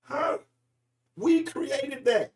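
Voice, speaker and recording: A man's voice: very high-pitched, lively intonation, drawn-out words, darkish tone, recorded from a distance. A man, hosting a freestyle commentary monologue in an emphatic, rambling, preachy way. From Arkansas, US. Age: 40s. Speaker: podcast host.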